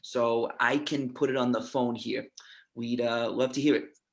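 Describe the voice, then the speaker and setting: A man reading a chatty, fussy mobster in his fifties, creaky and halting, at an amateur script table read. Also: medium pitch, steady tone. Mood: effusive. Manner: halting, repeats himself.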